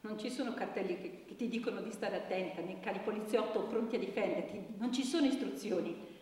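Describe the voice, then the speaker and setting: An actress playing a wounded young woman giving halting, intense testimony about abuse in a theatrical radio drama. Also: mid-range voice, natural voice. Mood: bitter. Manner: halting, intense, dropping to hushed tones. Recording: distant, echoey hall with faint noise.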